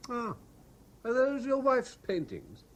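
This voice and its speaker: A man's voice: very high voice, wildly swooping pitch, takes it slowly, heavily acted. A British actor playing a guilt-ridden, evasive man in a radio drama, with a faltering, hesitant delivery. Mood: defensive. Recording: noisy vintage 1970s radio drama recording.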